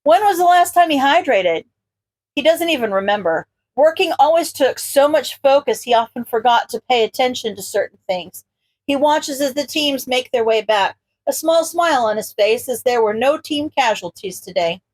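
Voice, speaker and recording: A woman's voice: sits high, expressive, normal speed, darkish tone, poor audio. A woman reading a scripted story aloud as a narrator. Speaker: podfic reader.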